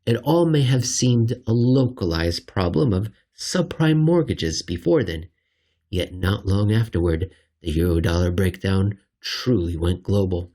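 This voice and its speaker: Husky, low-voiced man reading commentary. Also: animated, a little slow, warm tone. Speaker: host reading an article aloud. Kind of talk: scripted narration of a finance commentary, with casual asides.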